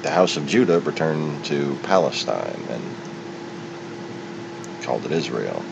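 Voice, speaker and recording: A man giving an unscripted, opinionated monologue, speaking in a halting, digressive way as a solo commentator. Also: vocal fry. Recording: muffled, with loud steady rumble.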